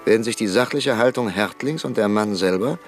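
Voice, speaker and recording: An actor playing an old, cautious parliamentarian professor in a radio drama, formal and hesitant with frequent pauses. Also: male voice, fairly low pitch, faint music behind, lightly acted. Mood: earnest. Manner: formal, hesitant with ähs, pleading. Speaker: parliamentary deputy.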